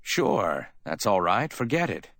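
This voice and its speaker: A man's voice: fairly low pitch, very animated, measured pace, a bit bright, no echo, clean studio sound, full character voice. A man playing a wry, offhand hardboiled man in an audiobook, speaking in a clipped, lightly amiable, dismissive way. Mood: brisk, offhand. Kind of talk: dramatic audiobook character reading.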